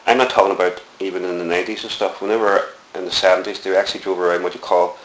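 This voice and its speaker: Deep-voiced Belfast man, echoey room. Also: fairly even intonation, rapid-fire, fairly bright tone. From Northern Ireland. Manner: rambling, reflective storytelling with many fillers. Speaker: interviewee. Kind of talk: oral history interview. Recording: echoey room with faint hiss.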